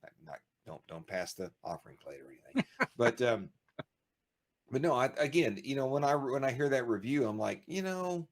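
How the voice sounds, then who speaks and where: A man's voice: mid-range voice, moderate pace. A man co-hosting a casual show, talking in rambling bursts with lots of restarts. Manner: rambling, animated, frequent restarts and laughs. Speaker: podcast co-host. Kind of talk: casual chat.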